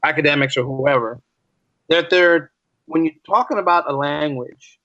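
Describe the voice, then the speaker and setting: Emphatic American man, slightly higher-pitched, muffled recording. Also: normal speed, bright, forceful tone, no echo. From United States. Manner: emphatic, repeats phrases for stress, uses rhetorical questions. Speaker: podcast guest, teacher. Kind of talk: conversational interview.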